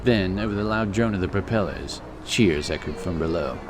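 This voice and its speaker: Low-voiced man narrating fantasy. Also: moderate pace, music in the background. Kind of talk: scripted fantasy audiobook narration.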